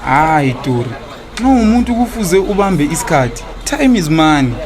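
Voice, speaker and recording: An actor playing a smooth-talking, evasive cheating husband in a radio drama, with a Zimbabwean accent and a stammer when cornered. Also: male voice, fairly high pitch, sing-song, moderate pace, over music, a crowd in the background. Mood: boastful, wooing. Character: smooth-talking, cheating husband wooing his young lover, evasive debtor.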